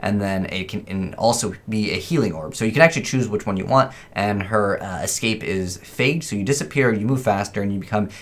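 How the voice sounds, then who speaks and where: A man gives casual gameplay commentary in a fairly low voice. His delivery is informal and a bit rambling, as he thinks aloud for his viewers. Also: a little fast, hardly any pauses. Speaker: gaming video host.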